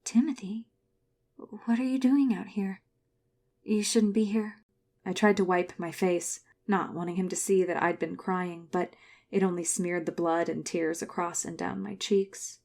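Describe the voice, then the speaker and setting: An actress playing a guarded, wounded woman who narrates her own story in a measured, confessional audio drama style. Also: fairly low pitch, steady tone, gravelly, a little mellow. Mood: subdued, reflective. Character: Florence, guarded, wounded woman recalling her religious school childhood. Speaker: narrator and lead character. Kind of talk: first-person scripted drama narration.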